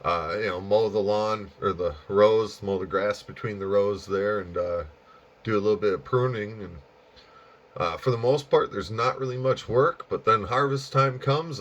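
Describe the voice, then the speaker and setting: An American man chatting casually and easygoingly over ham radio, rambling along with drawn-out pauses. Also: fairly low pitch, a bit bright. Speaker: amateur radio operator and channel host. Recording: dull, lo-fi sound.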